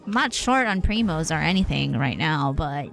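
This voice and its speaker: Low-voiced, irreverent woman game streamer. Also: expressive, moderate pace, vocal fry, soft background music. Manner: irreverent, sweary, playful. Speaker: game livestreamer. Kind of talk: casual reaction commentary, slangy.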